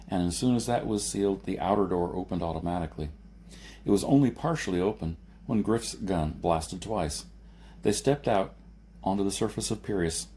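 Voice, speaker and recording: Mellow low-voiced man reading, noisy recording. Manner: reads with occasional stumbles and self-corrections. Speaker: audiobook reader. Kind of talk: scripted narration of a science fiction novel.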